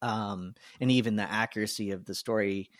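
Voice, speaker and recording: A man's voice: on the low side, steady tone, fairly quick, dry recording. A man hosting a podcast, thinking aloud in long, searching sentences into a close microphone. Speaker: podcast host. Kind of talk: casual podcast chat.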